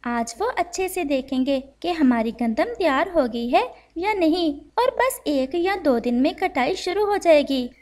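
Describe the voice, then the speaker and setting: Cartoon mother bird, played by a woman: high-pitched, gentle, caring and motherly.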